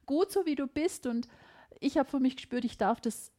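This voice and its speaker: A middle-aged woman with a Swabian German accent speaks in a lively, emphatic way, her voice sitting a little high. She chats casually as a podcast host, reflecting aloud. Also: normal speed. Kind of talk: casual conversation.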